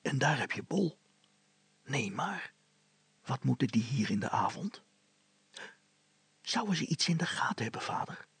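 Man doing a high, whispering, simple young son. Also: very animated, a little slow, pauses often, a bit bright, no echo, exaggerated voice. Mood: alert, whispering. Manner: whispering, breathy. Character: simple, nervous young son sneaking with his father.

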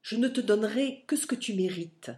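A woman's voice: on the high side, expressive, brisk, a little mellow, close to an everyday voice. A woman voicing a stern, unbending older father who speaks severely and curtly to his son in an audiobook reading. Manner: severe, curt.

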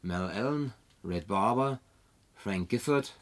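A man's voice: fairly low pitch, animated, takes it slowly, background noise. An actor in a German radio play, playing a wry, good-natured man who grumbles in a dry, sceptical way. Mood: impressed, playful.

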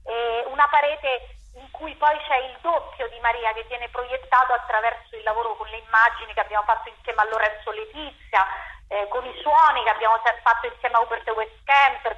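A woman, a theatre director explaining her work in an interview in a reflective, halting way with repeated words. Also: a little high, fairly even intonation, a bit bright, highs cut off.

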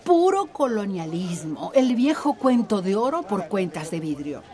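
A woman's voice: mid-range voice, sing-song, a little slow, darkish tone. A woman playing a worldly, sardonic and secretive middle-aged activist in a radio drama. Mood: scornful.

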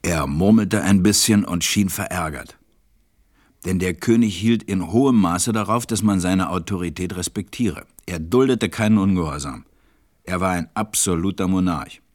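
An actor serving as the narrator of a radio play, reading a children's story in a measured, scripted way. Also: male voice, deep voice, darkish tone. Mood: measured narration.

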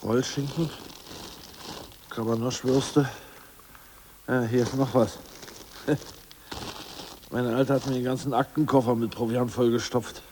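An actor playing an anxious, complaining ousted party official in a radio drama. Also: male voice, mid-range voice, little pitch movement, unhurried, pauses often, noisy background, natural voice. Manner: fretful, plaintive, complaining. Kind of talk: 1980s radio drama acting.

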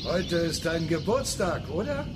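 An actor playing a calm male companion aboard a starship in a science fiction radio drama. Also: on the high side, measured pace, background noise. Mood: gentle.

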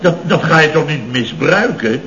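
Blustering contractor boss, muffled noisy recording. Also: male voice, fairly high pitch, animated, normal speed. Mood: indignant. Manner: rambling, exclamatory, rhetorical questions. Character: blustering, indignant middle-aged building contractor boss. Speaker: actor in radio comedy serial. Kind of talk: 1970s Dutch radio comedy acting. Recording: dull, noisy old radio recording.

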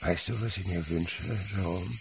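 An actor playing a kindly old insect researcher in a radio play, philosophical and rapturous, moving between murmurs and exclamations. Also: male voice, sits low, moderate pace, gravelly. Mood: wistful. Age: older. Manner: theatrical swings from murmur to exclamation. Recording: radio drama with insect noise behind.

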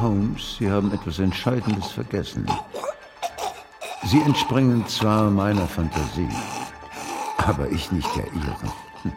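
An actor playing a wry, triumphant gentleman ghost in a radio drama, measured, eloquent and smug. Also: deep voice.

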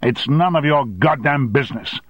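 A man playing a blustering, domineering, short-tempered male boss who shouts threats, on a dry, slightly dull recording. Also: mid-range voice, moderate pace, breathy edge, no echo, pitched above the performer's own voice, lightly acted. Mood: angry.

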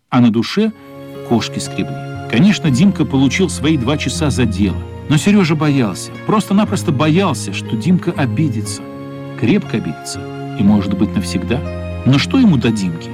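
A man's voice: medium pitch, very animated, moderate pace, over music. A man narrating a radio play as dramatic scripted storytelling, expressively voicing the characters' thoughts.

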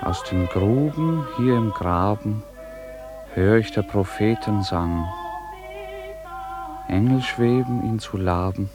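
Low-voiced male narrator over music. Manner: measured, formal. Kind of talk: literary radio drama narration, measured verse and prose. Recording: music behind the voice.

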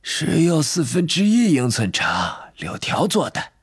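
A man voicing an eerie, wistful old wandmaker in a storybook, soft and breathy, with drawn-out musing phrases. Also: on the high side, huge pitch swings, no echo, studio quality, pitched above the performer's own voice, full character voice. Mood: knowing, nostalgic.

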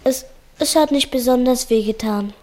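A woman's voice: a little high, normal speed, a little mellow, lightly acted. A woman playing a small, brave schoolboy in a radio drama, with a hesitant, earnest manner. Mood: brave, reassuring. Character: small, brave, timid-hearted injured schoolboy.

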